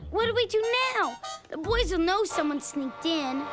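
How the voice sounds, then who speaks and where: A woman's voice: very high-pitched, expressive, normal speed, over music. A woman voicing a perky, mischievous little girl sidekick in a cartoon, chirpy and blunt. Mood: perky, conspiring.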